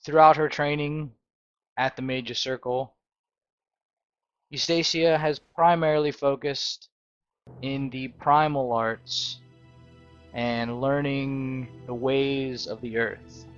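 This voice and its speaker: Slow-talking man narrating role-play. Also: mid-range voice, fairly even intonation, smooth, muffled recording. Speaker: gaming video host. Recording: loud new-age music behind the voice.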